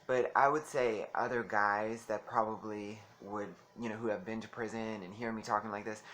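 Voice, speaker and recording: Male vlogger, soft bright voice, chatty and giggly, faint hiss behind. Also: lowish voice, fairly even intonation, moderate pace. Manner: chatty, giggly, calls listeners honey. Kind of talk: casual talk to camera.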